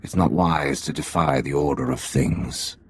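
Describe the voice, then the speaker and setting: A man playing a shrewd, wry undercover agent in a video game, with a gravelly, slightly husky voice and a measured, dry delivery. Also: very deep voice, a little hiss or hum. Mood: cautionary. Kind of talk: video game cutscene acting.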